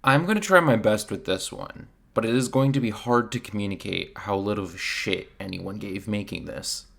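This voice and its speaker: A man giving scripted film review commentary in a blunt, exasperated, sardonic way, with a gravelly creak. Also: on the low side, normal speed, a bit bright. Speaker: video reviewer.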